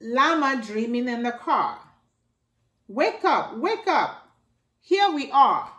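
A middle-aged woman reading a rhyming picture book aloud to children in a slow sing-song way, filmed indoors at home by a camera a few feet away. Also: high-pitched, big pitch swings, fairly bright tone. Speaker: storytime reader. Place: living room by a staircase, indoors. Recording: echoey room.

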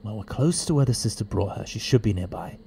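Casting: male actor for eccentric alien time traveller, audio drama; mellow, swooping pitch, stammering, excitable. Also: fast talker, slight background noise. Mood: reflective.

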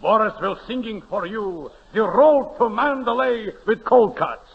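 A brash Russian immigrant in a radio comedy, blustering in thickly accented broken English. The voice is high and gravelly. It echoes on a muffled 1930s recording. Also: male voice, a little slow, noisy background. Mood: self-important. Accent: mock Russian.

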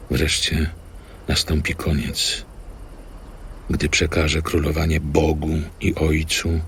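A man reading scripture as solemn scripted narration with deliberate, measured phrasing. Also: very deep voice, creaky, a bit bright, slight background noise. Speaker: scripture reader. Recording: music behind studio narration.

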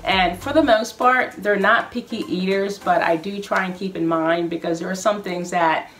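A woman's voice: mid-range voice, normal speed, a bit bright, soft background music. A woman in her thirties chatting casually in a home kitchen vlog, walking through her meal plan and listing groceries one by one in an upbeat way. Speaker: vlogger. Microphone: camera in front, medium framing.

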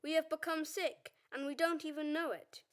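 An earnest young messenger played by a woman recites a warning in a radio play. The voice is notably high. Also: fairly even intonation, normal speed, natural voice.

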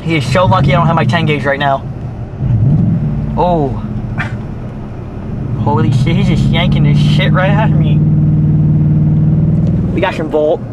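A man's voice: fairly high pitch, fairly even intonation, measured pace, pauses often. A young man riding as a passenger and goofing around with crude jokes, filmed on a handheld camcorder inside a pickup truck. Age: young adult. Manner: goofy, crude, drawling. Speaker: passenger and friend. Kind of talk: joking car ride chat. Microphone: handheld camcorder in the cab. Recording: loud road noise.

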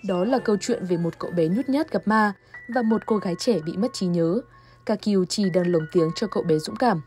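A woman's voice: medium pitch, moderate pace, dark tone, faint music behind. A woman reading scripted narration for a television news report.

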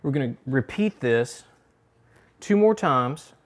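A middle-aged man walks viewers through a recipe in his home kitchen, casual and easygoing. His voice sits at an ordinary pitch for a man, with plain, unhurried instructional phrasing. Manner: relaxed step-by-step instruction with fillers. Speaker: cooking show host. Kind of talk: home cooking demonstration.

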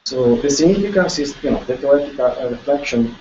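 A man's voice: mid-range voice, lively intonation, warm tone, slight background noise. Italian-accented man lecturing in echoey room. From Italy. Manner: halting, many restarts and repeated words, gesturing. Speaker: guest researcher presenting. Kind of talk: lecture presentation. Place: lecture room with projector screen. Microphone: far room camera. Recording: echoey room, distant and dull.

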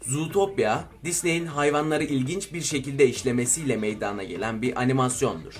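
A man reading scripted narration for a list video. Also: a little high. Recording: voice-over with music underneath. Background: background music.

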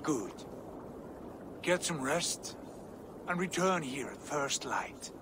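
An ambitious Viking warrior speaks in grand, deliberate phrases for a video game. The voice sits a little high and takes its time. Faint water ambience runs behind it. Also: male voice, lively intonation, background noise. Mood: warm, ambitious. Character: proud, ambitious Viking warrior prince, hungry for glory. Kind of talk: dramatic video game acting.

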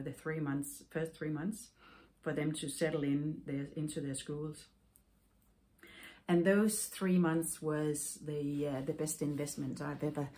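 Danish woman in her forties, low voice, slow and searching delivery, podcast host talking to a webcam at home. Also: a little mellow. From Denmark. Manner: thoughtful, halting, with many fillers and restarts. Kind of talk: casual personal storytelling. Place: home room with yarn shelves, indoors.

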